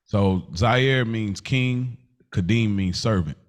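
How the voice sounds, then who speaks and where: A man in his thirties with an American accent and a low-pitched voice. He talks like a podcast co-host among friends, informal and full of slang. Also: takes it slowly. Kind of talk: casual banter and chat between friends.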